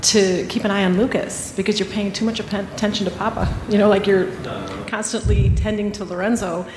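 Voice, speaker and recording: A woman's voice: mid-range voice, level tone, a little fast. Reflective mother speaking in a roomy hall. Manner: reflective, personal, searching for words. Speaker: panelist, parent of a caregiving child. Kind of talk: panel discussion. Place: conference hall. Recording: echoey hall with faint room noise.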